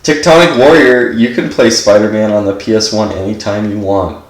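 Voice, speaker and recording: A man's voice: fairly low pitch, fairly even intonation, normal speed, reverberant, low-quality recording. A man giving casual gameplay commentary while stuffed up from allergies, rambling and filling his speech with "like". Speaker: game streamer.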